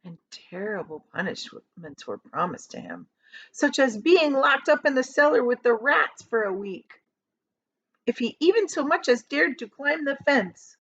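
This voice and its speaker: A woman reads a children's story aloud with very lively, swooping intonation. She leans on key words and stretches them out for drama. The recording is slightly dull and lo-fi. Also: high voice, husky. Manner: expressive, dramatic emphasis with drawn-out key words. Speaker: teacher reading aloud.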